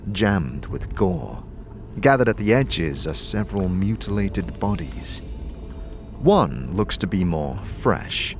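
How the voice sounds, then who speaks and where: A man with a very deep voice narrates a dark fantasy story in the second person. He speaks slowly, in a grim and deliberate way. Faint music plays beneath him. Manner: slow, grim, deliberate delivery.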